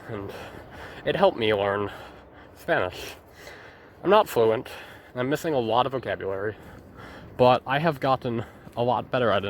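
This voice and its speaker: A man's voice: medium pitch, a little hiss or hum. A young man rambling through a personal journal vlog, hesitating and restarting often. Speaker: vlogger.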